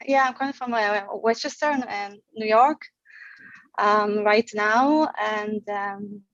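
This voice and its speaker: A woman in her forties from Israel, sharing her gratitude on a group video call, halting and full of fillers and restarts. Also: medium pitch, a bit bright, no room sound, dull audio. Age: 40s. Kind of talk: heartfelt casual sharing.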